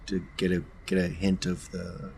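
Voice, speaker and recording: A man playing a tabletop game, talking casually through his actions in a deliberate, explanatory way. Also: low voice, flat delivery, creaky, a little mellow, slight background noise.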